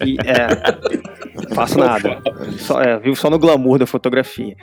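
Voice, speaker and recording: A man from Rio, introducing himself with wry, relaxed humor. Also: medium pitch, measured pace. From Rio de Janeiro, Brazil. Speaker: photographer guest. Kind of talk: casual self-introduction.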